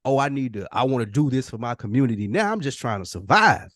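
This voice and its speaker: A man's voice: mid-range voice, very animated, fast talker, a bit bright, dry recording, clean studio sound. A man talking casually and candidly as a podcast co-host, reflective and confessional, with slangy, profane, emphatic phrasing. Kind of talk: casual, candid personal conversation.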